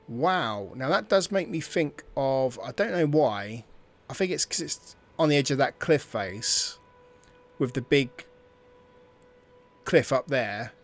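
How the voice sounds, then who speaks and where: A man giving casual let's-play commentary, musing and thinking aloud, sometimes dropping to a near-whisper. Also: medium pitch. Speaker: gameplay commentator.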